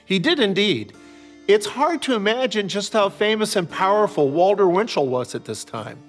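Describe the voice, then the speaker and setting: An older American man giving reflective, explanatory commentary as an author and host, measured and articulate, with parenthetical asides. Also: high voice, animated, faint music behind. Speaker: author and series host discussing his novels. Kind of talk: reflective explanatory commentary, semi-scripted narration.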